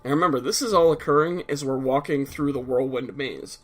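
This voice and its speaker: A man's voice: medium pitch, a little fast, soft background music. A man with a stuffed-up nose giving casual, explanatory commentary over a video game, blunt and a little profane at times. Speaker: let's play commentator.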